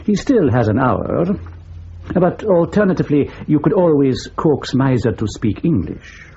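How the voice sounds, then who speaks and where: A man's voice: medium pitch, lively intonation, unhurried, warm tone, breathy edge, full character voice. An actor playing a wry, drily reassuring male intelligence colleague in a radio drama. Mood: wry, calm. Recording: dull, faintly noisy radio recording.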